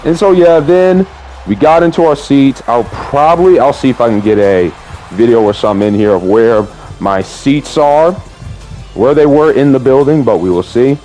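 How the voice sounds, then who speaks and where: Slightly hoarse male vlogger, casual and excitable, mid-range voice, faint music underneath. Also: medium pitch, poor audio. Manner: excitable, drawn-out exclamations, says "man" often. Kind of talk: casual vlog recap.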